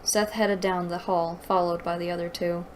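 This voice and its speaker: A woman's voice: lowish voice, level tone, moderate pace, slight background noise, low-quality recording. A woman reading aloud and giving mocking, incredulous commentary as the host of a book review. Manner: exasperated, incredulous.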